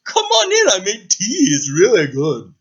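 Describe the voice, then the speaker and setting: A man voicing a big, friendly, lonely male dragon in a bedtime story, loud and chatty. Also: sits high, wildly swooping pitch, unhurried, breathy edge, pitched above the performer's own voice, exaggerated voice. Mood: cheerful. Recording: dull lo-fi recording.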